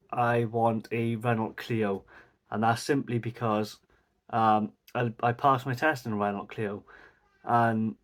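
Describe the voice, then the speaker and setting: Rambling young Welsh man. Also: medium pitch. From South Wales. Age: 20s. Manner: rambling, lots of fillers and asides. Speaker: vlogger. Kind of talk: rambling casual Q&A vlog. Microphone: mic facing camera.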